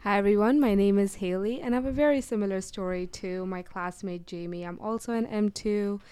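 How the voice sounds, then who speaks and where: Cheerful woman from India, smooth voice. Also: medium pitch, a little fast, dark tone, dry recording. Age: 20s. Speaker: podcast guest, medical student. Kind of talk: casual interview chat.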